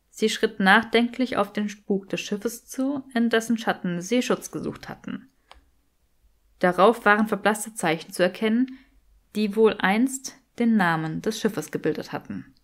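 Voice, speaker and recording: A woman reading scripted fiction as an audiobook narrator. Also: medium pitch.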